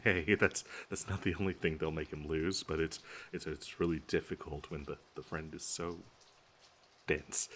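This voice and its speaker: A male actor as a lovesick, obsessive young man in an intimate audio roleplay. His voice sits low and moves slowly, tripping over itself with stammers and nervous giggles as he addresses his best friend. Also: breathy edge, exaggerated voice. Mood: giddy, obsessive.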